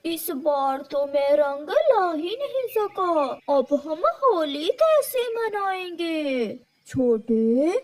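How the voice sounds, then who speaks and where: Woman doing a high, slow-talking haughty cartoon owl. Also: darkish tone, full character voice. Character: male owl, haughty, sweet-loving cartoon bird.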